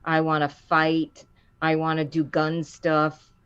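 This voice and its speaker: A middle-aged woman with a low voice, a voice actor chatting in an interview and acting out the quotes in her stories. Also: takes it slowly, smooth, lo-fi sound. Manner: animated storyteller, acts out quotes with rising pitch. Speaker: voice actor guest. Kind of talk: casual interview chat.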